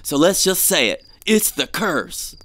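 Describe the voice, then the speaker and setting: High-voiced, superstitious prospector, played by a man. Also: very animated, unhurried, bright, forceful tone, full character voice. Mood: ominous. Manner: dramatic, haunted, folksy. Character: fearful, superstitious male gold prospector. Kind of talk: audio drama acting.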